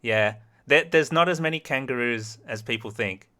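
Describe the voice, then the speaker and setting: A man with a light Australian accent and a bright, forceful voice, talking casually as a guest answers about his life. He is easygoing and laughs often. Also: medium pitch, moderate pace. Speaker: host. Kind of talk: casual chat, being interviewed on a livestream.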